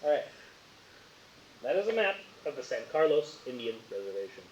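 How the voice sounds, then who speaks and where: A man's voice: mid-range voice, sing-song, normal speed. A man acting as game master, reading out case details and refereeing a tabletop roleplaying game. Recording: muffled, hissy, roomy home recording.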